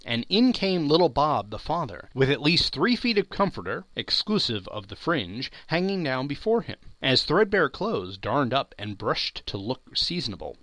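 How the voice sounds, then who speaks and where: Bright, highly animated male storyteller. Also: no room sound. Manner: theatrical, emphatic, expressive. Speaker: podcast host and narrator. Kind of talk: dramatic literary audiobook narration.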